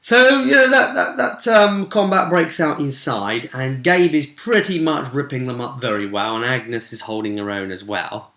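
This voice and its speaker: A man with a bright, forceful voice at a middling pitch hosts a casual game master's recap. He takes his time, rambling and cutting himself off with jokey, animated asides. The sound is roomy and echoey. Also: normal speed, hardly any pauses. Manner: animated, jokey, self-interrupting. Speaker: game master and vidcast host. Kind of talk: casual rambling recap of a tabletop role-play session.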